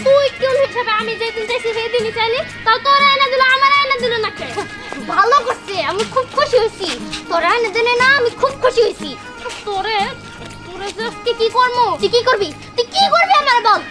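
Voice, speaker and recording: A performer voicing a jealous, quick-tempered young cartoon wife, whining and combative, with a colloquial Bangladeshi accent. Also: extremely high pitch. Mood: furious. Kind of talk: comic cartoon bickering. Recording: loud music bed behind the voice.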